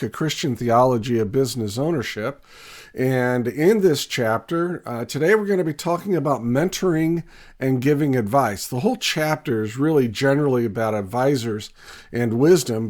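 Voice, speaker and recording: A man with a raspy voice, still getting over a cold, teaches in a steady, earnest way. His pitch sits in an ordinary middle range, and he pauses often to think. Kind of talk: scripted teaching talk to camera.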